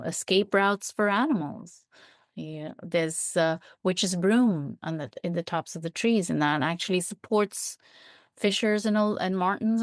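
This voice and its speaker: A woman's voice: fairly low pitch, no room sound, clean studio sound. A woman speaking as an interview guest, explaining things in a thoughtful, descriptive and earnest conversational way, repeating points for emphasis. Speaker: interview guest, biologist and writer.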